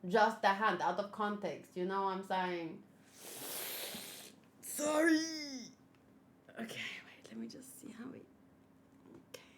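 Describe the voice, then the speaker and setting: A woman's voice: medium pitch, big pitch swings, measured pace, long pauses, slight background noise. A woman streamer chatting casually and giggling as she unboxes and assembles a figure, answering her chat.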